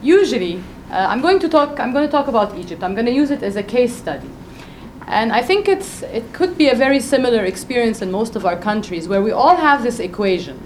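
A woman with a loud voice speaking on a conference panel, making an emphatic, persuasive case and addressing the audience directly. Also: a little high, animated, brisk, slight background noise. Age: adult with a doctorate.

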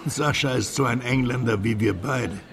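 An actor playing a worldly, sentimental, garrulous émigré drinking companion in a radio drama, with a gravelly, conversational delivery. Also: male voice, mid-range voice, moderate pace, a crowd in the background, close to an everyday voice. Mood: garrulous, tipsy ease. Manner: conversational, expansive, rhetorical asides.

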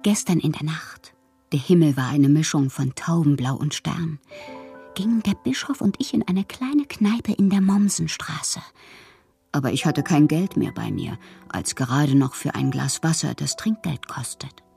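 A woman playing a whimsical bohemian poet who confides her letters in an intimate, breathy, theatrical way for a radio drama. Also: sits low, a little slow, warm tone, faint music behind. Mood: secretive, dreamy. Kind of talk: literary radio drama, letters read as monologue.

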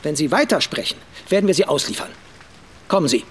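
An actor playing a stern, unyielding Belgian border gendarme in a radio drama, curt and official with a husky voice. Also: male voice, on the high side, animated, brisk, background noise, close to an everyday voice.

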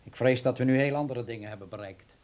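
A man playing a dignified middle-aged German hotel director in a radio drama, speaking in a grave, formal, measured way. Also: medium pitch, darkish tone, natural voice. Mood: grave, melancholy.

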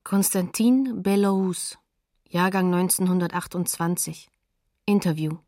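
A woman's voice: lowish voice, measured pace, darkish tone, dry recording, clean studio sound. A woman announcer reading scripted, factual documentary credits.

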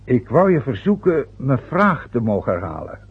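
An actor playing a jovial, teasing older father in a radio play, with a husky voice, chuckling and prying in a bantering way. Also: medium pitch, big pitch swings, moderate pace, narrow, tinny audio. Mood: probing. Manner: bantering, nosy, chuckles often.

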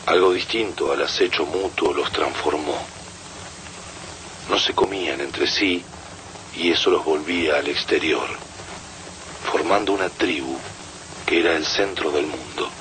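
A man giving a grave, measured, scripted reading of literary prose. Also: a bass voice, fairly bright tone, slight background noise, low-quality recording.

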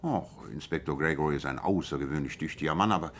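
A low-pitched, self-assured detective in a radio play. He lays out his reasoning in a measured, precise way, over a bed of light background noise. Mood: analytical, assured. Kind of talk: German radio play dialogue.

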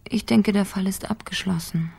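An actress playing a stubborn, fearless woman potter in a German radio crime drama, speaking in a breathy half-whisper. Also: mid-range voice, steady tone, moderate pace, darkish tone. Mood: concerned.

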